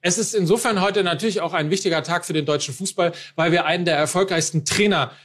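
High-voiced man hosting live. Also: fast talker, fairly bright tone. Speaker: host of a live football show. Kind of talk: live show presenting to an audience, chatty.

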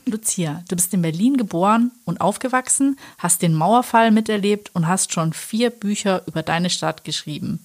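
A woman co-hosting a podcast from a small studio, calm and even as she asks questions. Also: mid-range voice, normal speed, hardly any pauses. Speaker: podcast co-host. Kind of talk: casual podcast chat.

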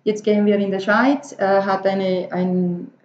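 A middle-aged woman from Venezuela with a Spanish accent, telling her story casually and haltingly as a non-native speaker, dropping in English business words. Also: mid-range voice, level tone, darkish tone, a little hiss or hum. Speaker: interview guest, marketer. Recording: muffled, echoey room.

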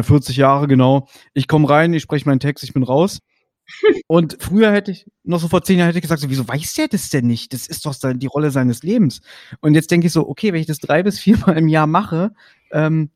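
A man's voice: mid-range voice, no echo. Male co-host, chatty and upbeat, dry close sound. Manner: lively, talkative, laughs easily. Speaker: podcast co-host. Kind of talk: casual interview chat.

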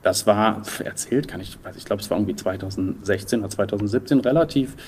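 A man's voice: fairly low pitch, fast, darkish tone, a little hiss or hum. A man in his thirties from the German Rhineland, answering interview questions conversationally in a thoughtful way, searching for words. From Düsseldorf, Rhineland, Germany. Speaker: interview guest, entrepreneur.

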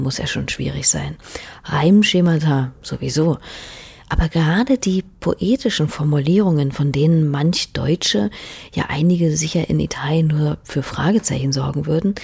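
Woman, radio presenter, very breathy, low voice, soft scripted delivery. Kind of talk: scripted radio feature narration.

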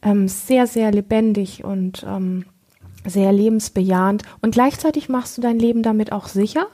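A woman's voice: mid-range voice, normal speed, dark tone. A woman talking earnestly about awareness as a coach, often searching for words with fillers. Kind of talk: reflective teaching talk.

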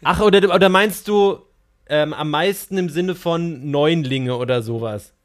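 A man's voice: a little high, a little slow. A man co-hosting a podcast, chatting casually among friends. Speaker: podcast co-host.

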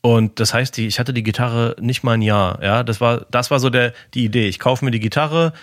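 A man with a Berlin accent telling a relaxed, slangy story as a podcast co-host. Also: mid-range voice, brisk, dry recording, studio quality. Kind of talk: casual chat.

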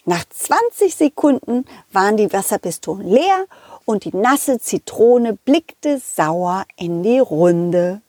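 A woman's voice: medium pitch, sing-song, slow, a little mellow, dry recording, clean studio sound. A woman voicing a cheerful, trusting male dog who chattily tells children's stories in a childlike, direct way. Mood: excited storytelling. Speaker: storytelling puppet character, host. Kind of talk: lively children's audio story narration.

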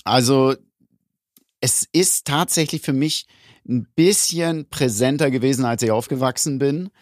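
A man's voice: mid-range voice, measured pace, dry recording, clean studio sound. Reflective podcast man from Germany. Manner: reflective, explains at length. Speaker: podcast co-host. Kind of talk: casual podcast chat.

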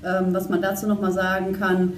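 A woman's voice: medium pitch, completely flat delivery, moderate pace. Woman, HR officer, orderly explaining, distant mic, echoey room. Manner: orderly, explanatory, many "ähm" fillers. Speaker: HR officer in charge of trainees. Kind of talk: explaining in a podcast interview. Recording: roomy echo from off mic with faint noise.

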